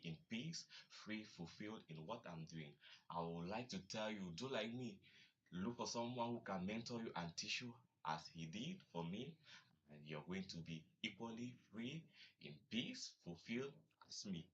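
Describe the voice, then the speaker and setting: Young cameroonian guy doing a student testimonial, low voice, slightly noisy dull audio. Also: a bit bright. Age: young adult. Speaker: university student giving a testimonial. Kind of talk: prepared testimonial. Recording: dull audio with faint noise.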